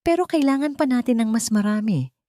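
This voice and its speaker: A woman's voice: a little high, lively intonation, brisk, mellow, no echo, studio quality, pitched above the performer's own voice, natural voice. A woman voicing a stern, unemotional female lawyer in her forties in a dramatic story, blunt and probing. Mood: measured.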